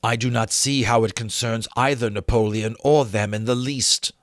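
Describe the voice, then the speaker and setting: A man's voice: mid-range voice, fairly even intonation, normal speed, dry recording, clean studio sound, close to an everyday voice. A man voicing a proud, loyal, indignant young dragon with formal speech in a dramatic storybook reading. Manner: bristling, formal.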